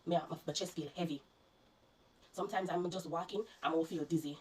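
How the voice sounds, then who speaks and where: A woman's voice: lowish voice, fairly quick, a little mellow, low-quality recording. A Jamaican woman vlogger, congested and coughing, earnestly recounting her illness to her viewers and warning them. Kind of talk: personal storytelling to viewers.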